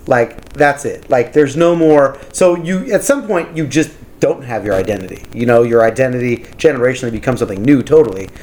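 A man's voice: mid-range voice, fairly quick, hardly any pauses, darkish tone, low-quality recording. A man with a New York accent, joking and chiming in during a casual group chat. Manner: joking, interjecting.

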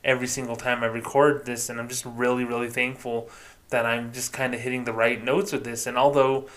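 A man hosting a solo podcast, talking casually in a self-described monotone and swearing freely. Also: medium pitch, a little fast. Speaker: podcast host.

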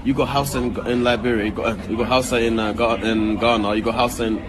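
A man's voice: mid-range voice, fairly even intonation, fast talker, low-quality recording. A man with an Ivorian accent explaining in a casual talk, repeating himself and often checking "you know what I'm saying". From Ivory Coast. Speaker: guest designer. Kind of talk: casual conversation.

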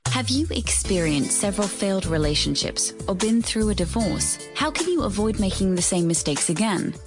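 Animated woman advert announcer over music. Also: mid-range voice, normal speed. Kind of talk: scripted book advertisement.

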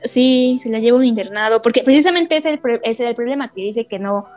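A woman with a fairly high voice chatting on a podcast. She fills in story details and agrees in quick, repeated yeses. Faint music runs underneath. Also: a little mellow. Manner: explains plot points, answers with quick repeated yeses. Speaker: podcast co-host. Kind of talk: casual chat.

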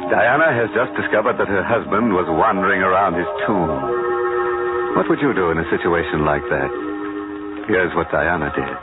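An actor as a low-voiced, gleefully macabre radio host. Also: male voice, moderate pace, natural voice. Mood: ghoulish amusement. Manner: sardonic, mock-cozy. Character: gleefully macabre male horror show host. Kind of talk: 1940s radio horror hosting. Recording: tinny old radio recording with music.

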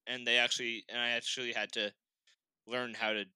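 A young man from Boston talking as a podcast guest, with a very bright, smooth, clear voice recorded dry and close. He tells stories with energy and laughs often. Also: mid-range voice, fairly quick, no echo, low-quality recording. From Boston, Massachusetts. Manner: animated storyteller, laughs often. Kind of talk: casual chat.

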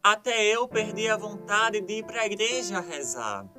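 Woman playing a sharp, pious household grumbler. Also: mid-range voice, very animated, unhurried, soft background music. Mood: resigned. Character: pious, irritable churchgoer of the household. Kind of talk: radio soap acting.

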